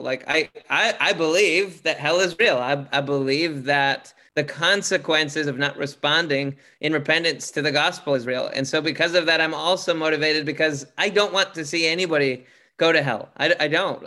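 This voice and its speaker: Earnest man with a booming voice. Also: normal speed. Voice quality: loud booming voice. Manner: earnest, many restarts and repeated words. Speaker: podcast guest, author. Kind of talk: casual interview talk.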